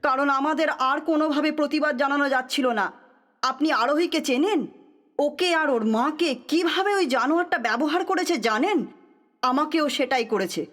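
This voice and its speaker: A woman's voice: high voice, brisk, fairly bright tone. A woman playing an embittered, defiant, unrepentant woman in an audio drama, speaking firmly and intensely. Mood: defiant, impassioned. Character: embittered, defiant woman confessing without remorse. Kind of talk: Bengali audio drama acting.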